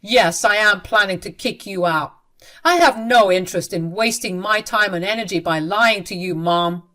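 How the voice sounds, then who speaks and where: A woman's voice: mid-range voice, moderate pace, bright, forceful tone, lightly acted. A woman voicing an angry adult son who speaks in a stern, confrontational way in an audio story. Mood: firm, confrontational. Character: angry adult son, firmly defending his wife.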